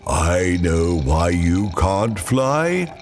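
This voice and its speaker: A man voicing a gentle, thoughtful tiger, a sweet best friend in a children's storybook, in a deliberate, encouraging way. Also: sits low, big pitch swings, slight background noise, heavily acted. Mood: excited realisation.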